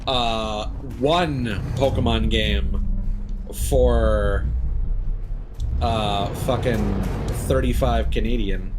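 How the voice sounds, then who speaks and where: A man giving casual live commentary as he plays a game, halting and thinking aloud as he goes. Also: lowish voice, over music. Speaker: game streamer.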